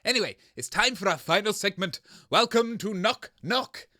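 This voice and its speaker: A man's voice: sits high, animated, normal speed, pushed and bright, no room sound, studio quality. A man playing a grumpy, shameless little radio host in scripted comedy banter, blustering and dismissive. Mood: showy.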